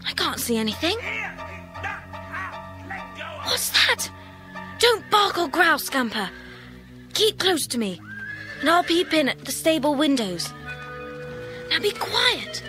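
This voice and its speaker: A woman's voice: high voice, sing-song, slow pace, pauses often, music in the background, heavily acted. A woman playing an eager, adventurous young British schoolgirl in a children's audio drama. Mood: puzzled, wary.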